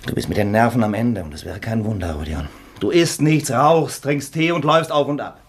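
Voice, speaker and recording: An actor in a radio drama playing a practical young friend, blunt and reproachful out of exasperated concern. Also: male voice, medium pitch, fairly quick, lightly acted. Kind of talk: German radio drama acting.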